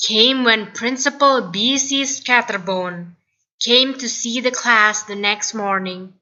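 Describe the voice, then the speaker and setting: Lady reading a kids story, higher bright voice, takes her time, slightly dull recording. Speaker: children's storybook reader. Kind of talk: read-aloud narration with picture commentary.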